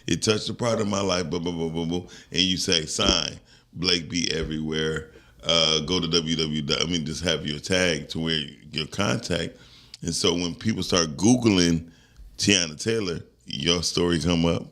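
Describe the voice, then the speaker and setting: Deep gravelly man explaining. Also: normal speed. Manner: explaining, slangy, relaxed. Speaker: podcast co-host. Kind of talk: casual chat.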